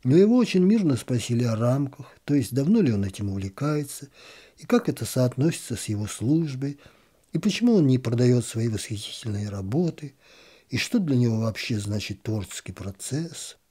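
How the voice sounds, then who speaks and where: Guy reading a story out loud, kinda wry, voice swoops up and down a lot, mellow and a bit raspy. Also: medium pitch, sing-song, normal speed. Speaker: narrator reading a literary story. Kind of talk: expressive audiobook reading.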